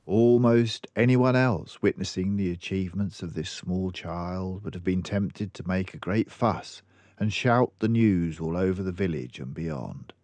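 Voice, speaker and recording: An older man narrating a children's book expressively, with measured and deliberate phrasing. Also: low voice, a little mellow, no echo. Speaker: storyteller.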